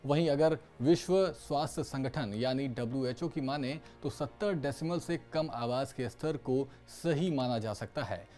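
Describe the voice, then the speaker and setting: Man reading news, dark-toned, over music. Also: medium pitch, normal speed, few pauses. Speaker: news presenter. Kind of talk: scripted news narration. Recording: music bed behind voice. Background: background music.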